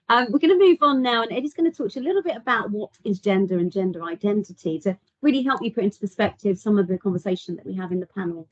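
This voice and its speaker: Quick upbeat woman running a panel, dry muffled video call sound. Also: mid-range voice, dry recording. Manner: upbeat, thanking and introducing speakers. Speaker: panel host. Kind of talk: moderating a panel.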